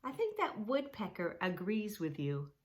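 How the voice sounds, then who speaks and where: A woman voicing a kind aunt who gently explains nature to her niece. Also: mid-range voice, huge pitch swings, a little slow. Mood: reassuring. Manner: reassuring, explaining.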